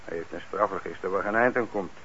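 Deep-voiced, reassuring official. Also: male voice, fairly bright tone. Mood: matter-of-fact. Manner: measured, conversational, explanatory. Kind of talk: radio drama acting. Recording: dull, noisy old radio recording.

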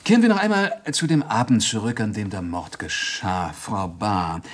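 An actor in a German radio crime drama playing a controlled police inspector who questions formally with dry irony. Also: male voice, fairly low pitch, animated, normal speed. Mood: probing. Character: police inspector, controlled, probing, dryly ironic interrogator.

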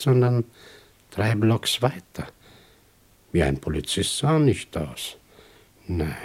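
Honest worried shopkeeper in radio drama, husky, melody goes up and down, hesitant and polite. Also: male voice, sits low, darkish tone, exaggerated voice. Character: honest, brooding male toy shopkeeper, a troubled witness. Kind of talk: German radio crime drama acting.

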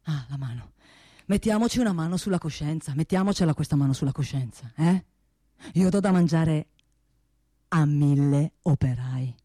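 A woman playing a low-voiced, pompous male factory boss. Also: moderate pace, dark tone, no echo, clean studio sound. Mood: defensive, self-righteous. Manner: pompous, repeats himself obsessively. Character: blustering, self-justifying male arms factory boss. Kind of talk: comic theatre monologue.

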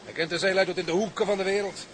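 A high male voice with faint noise behind it. a brash seaman in a radio play, opinionated and scornful, with a taunting edge. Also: fairly even intonation, rapid-fire, a bit bright. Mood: grudging admiration.

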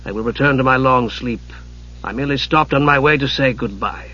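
A man voicing a tired, dry-humoured archmage uncle, speaking softly and unhurriedly in a fantasy audiobook. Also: medium pitch, steady tone, moderate pace, slightly rough, muffled recording. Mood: weary, dry. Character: weary, dry, soft-spoken male archmage uncle.

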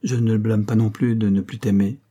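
A man voicing a frail old female servant in a storybook reading, faint, soft and resigned, gently reproachful. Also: on the low side, steady tone, a little fast, breathy edge. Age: older. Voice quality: faint, lifeless voice.

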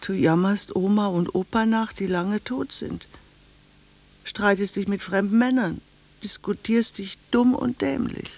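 An actress playing a blunt, wistful middle-aged village cashier in a German radio drama, speaking in a deliberate, intimate way. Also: female voice, mid-range voice, steady tone, vocal fry, darkish tone. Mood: reproachful, sad.

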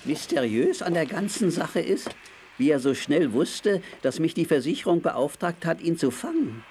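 An actor playing a tough, good-hearted sailor hero in a children's audio drama, boastful, muttering and full of exclamations. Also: male voice, fairly high pitch, sing-song, moderate pace, a little mellow, a little hiss or hum. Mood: grumbling.

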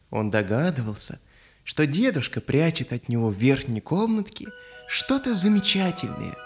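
A man narrating a scripted children's audio story in an expressive, dramatic manner. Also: a little high. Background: background music.

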